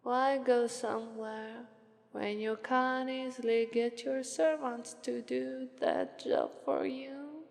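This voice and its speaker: A woman with an Italian accent voicing a lazy, aloof, regal demon of Sloth in an unhurried, detached ASMR roleplay. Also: on the high side, vocal fry. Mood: languid, aloof. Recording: long reverberant hall echo.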